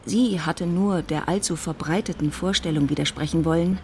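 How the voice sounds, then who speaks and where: A woman narrating a literary radio drama in German in a measured, precise way. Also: low-pitched, a little mellow, slight background noise.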